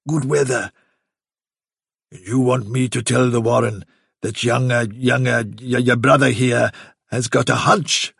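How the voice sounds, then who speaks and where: An old chief rabbit from a storybook acted out by a man, slightly husky and closely recorded. He is courteous to a fault, vague and condescending, drawing out his phrases to put off whoever he addresses. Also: mid-range voice, a bit bright, dry recording, clean studio sound, exaggerated voice. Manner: bumbling, over-courteous, drawn-out patronising phrasing. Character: elderly male Chief Rabbit, politely condescending, vague and evasive.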